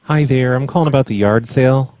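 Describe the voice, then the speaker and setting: A man making a prank phone call as an earnest caller, talking in a hesitant, rambling, deadpan way. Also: medium pitch, fairly quick, noisy background. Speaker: prank caller and podcast host.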